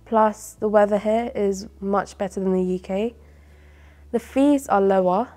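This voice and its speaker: A young woman with a London accent, a medical student answering interview questions in a chatty, relaxed way. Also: medium pitch, a little slow. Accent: London English. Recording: soft music under the voice.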